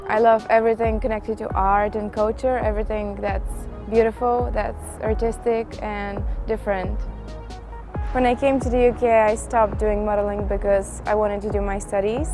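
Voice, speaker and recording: Woman in her 20s, Bulgarian accent, Bulgarian native speaker, flat steady pitch, calm self-introduction, clear music under the voice. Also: normal speed. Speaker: model contestant.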